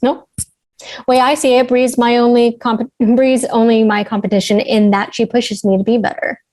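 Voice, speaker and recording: A woman's voice: fairly high pitch, level tone, normal speed, a little mellow, no echo, natural voice. Level-headed climber, acted by a woman, dry sound. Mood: firm. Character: determined, level-headed female veteran mountain climber. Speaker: actor in a table read. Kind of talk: conversational script reading.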